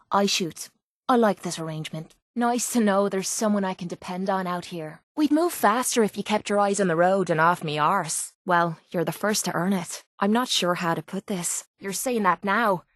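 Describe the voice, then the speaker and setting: An actress voices a tough young Irish woman, a video game companion with a blunt, sardonic tongue. She sits at a middling pitch, close and dry, with a gruff, informal manner and a vulnerable streak under the bravado. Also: normal speed, no room sound, close to an everyday voice. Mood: teasing, confiding. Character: brash, foul-mouthed young Irish woman brawler, tough but vulnerable.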